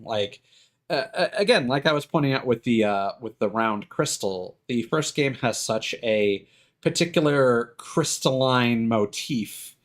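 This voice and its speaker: A man live streaming with casual, opinionated commentary, rambling along in a halting, stop-and-start way. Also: medium pitch.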